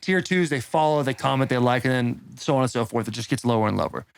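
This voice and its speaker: A man's voice: mid-range voice, fast talker, gravelly, clean tone, dry recording. An American man hosting a podcast in casual, slangy bro talk with plenty of swearing. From Arizona, United States. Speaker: podcast host. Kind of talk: casual chat and conversational ad reads.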